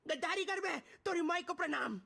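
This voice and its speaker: A woman's voice: very high voice, gravelly, bright, forceful tone, roomy sound, heavily acted. A woman voicing a sassy, swaggering female battle-game fighter, stretching out taunting one-liners. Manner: drawn-out, taunting delivery.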